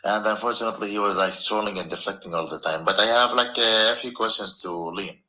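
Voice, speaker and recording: A man's voice: fairly low pitch, fast talker, sharp tone, poor audio. A man speaking English as a non-native language, calling in to a live stream and talking earnestly and carefully. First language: not English. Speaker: caller. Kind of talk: live stream call-in talk.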